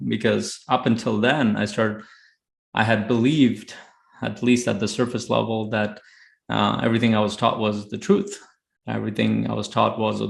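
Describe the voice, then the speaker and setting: Low, very gravelly man telling his story. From Afghanistan. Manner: halting, many filled pauses. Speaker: podcast guest, high-performance coach. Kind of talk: reflective personal storytelling. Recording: roomy, echoey sound.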